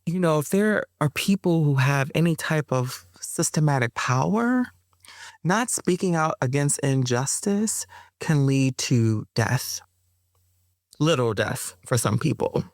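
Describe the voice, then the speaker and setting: A woman guest and performer in a reflective interview, speaking slowly and pausing to find her words, with slangy asides. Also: deep voice, animated, darkish tone, no room sound, studio quality.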